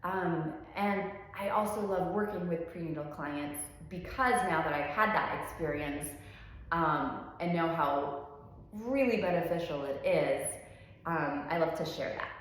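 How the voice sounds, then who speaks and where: A woman's voice: low voice, measured pace. A woman in her thirties, a pilates instructor originally from France, talking about herself in an easygoing way with frequent ums and open enthusiasm. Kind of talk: relaxed personal introduction. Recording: very echoey room with long reverb.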